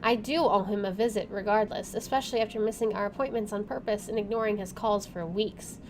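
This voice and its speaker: A woman reads a visual novel aloud as she plays, slipping into casual remarks. Her pitch sits in the middle for a woman. Faint game ambience and noise sit behind her. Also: fairly quick. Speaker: game player, channel host.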